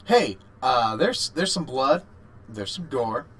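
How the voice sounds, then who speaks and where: A man's voice: medium pitch, sing-song, takes it slowly, a bit bright. A man co-hosting a casual podcast, speaking informally and often repeating words as he goes. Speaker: podcast co-host. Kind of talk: casual chat about video games.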